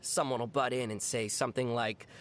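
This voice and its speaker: An actor voicing a calm, teasing young adventurer in a video game, with a dry, knowing manner. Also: mid-range voice, fairly quick, lightly acted. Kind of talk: video game character voice acting.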